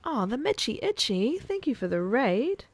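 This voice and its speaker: A woman streaming a survival game, thinking aloud in a chatty way with soft muttered asides. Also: on the high side, very animated, moderate pace, dry recording. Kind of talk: casual gameplay commentary.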